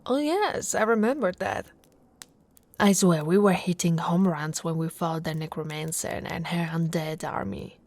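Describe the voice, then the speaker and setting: A woman's voice: on the low side, unhurried, darkish tone. A woman from Italy voicing an affectionate, playfully boastful orc warrior wife in an intimate, teasing ASMR roleplay, often dropping to a whisper. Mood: amused, boastful.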